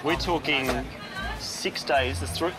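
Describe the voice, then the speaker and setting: A man's voice: fairly high pitch, slow pace, crowd noise, background noise. A man in his forties chatting at an outdoor market stall, enthusiastic and self-deprecating. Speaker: farmer selling at a market stall. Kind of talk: casual on-location chat.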